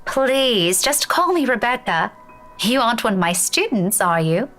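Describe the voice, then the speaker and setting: A woman's voice: a little high, expressive, unhurried, a bit bright. A woman playing a friendly, teasing young schoolteacher in a visual novel, with a British accent and rolled Scottish R's. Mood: friendly, curious. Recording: music behind game dialogue.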